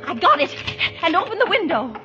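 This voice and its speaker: Terrified wife, very high breathless voice, overwrought radio suspense, music behind. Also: female voice, big pitch swings, a little fast, highs cut off. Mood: breathless, panicked. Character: terrified, frantic married woman under threat. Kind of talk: 1940s radio suspense acting.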